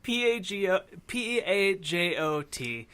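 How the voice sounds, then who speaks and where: Bright, higher-pitched male podcast host. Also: sing-song, slow pace, clean tone. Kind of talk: casual film discussion.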